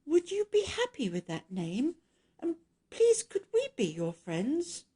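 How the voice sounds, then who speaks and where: A woman's voice: high-pitched, sing-song, measured pace, warm tone, voice pushed up. A woman voicing a friendly, shy young girl in a children's storybook, earnest and lilting. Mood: shy, hopeful.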